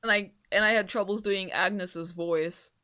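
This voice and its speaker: A woman with a deep voice who streams, reading a visual novel aloud and chatting with her viewers in a giggly, rambling way. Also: moderate pace, clean tone. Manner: giggly and rambling in chat, even-paced when narrating. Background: faint game music.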